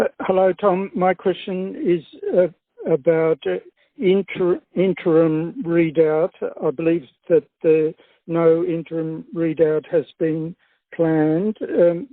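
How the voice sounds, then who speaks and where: A man calling in as a private investor, asking a polite, halting question over a phone line. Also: fairly high pitch, dry recording. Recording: muffled lo-fi phone line, dry.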